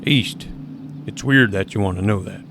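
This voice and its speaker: Give this a gruff, irritable suburban dad played by a man in an audio drama, suspicious and blunt. His voice sits low and his grammar is folksy. Clear outdoor street noise surrounds him. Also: moderate pace. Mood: gruff, wary. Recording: noisy outdoor street ambience.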